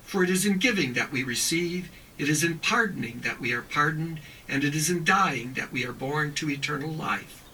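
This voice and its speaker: A man recites a prayer in measured phrases, as a priest. His voice is bright and a little high, picked up slightly away from the microphone. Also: recorded from a distance, slight background noise, poor audio.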